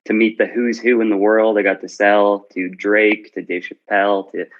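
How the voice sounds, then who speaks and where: A man with a very deep voice and little rise and fall talks eagerly about his work, stacking up praise and repeating words. The sound is dull and muffled, as if it came through a lo-fi connection. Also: level tone, moderate pace. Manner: upbeat, effusive, repeats words. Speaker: guest account manager. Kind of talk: casual self-introduction on an audio chat room. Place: Toronto.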